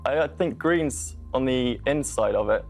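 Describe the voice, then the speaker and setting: A young Englishman of twenty, from the south, talks casually as a student quiz contestant. His voice is mid-pitched, with faint music behind it. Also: a little slow, darkish tone. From southern England. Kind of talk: casual unscripted chat. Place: TV quiz studio.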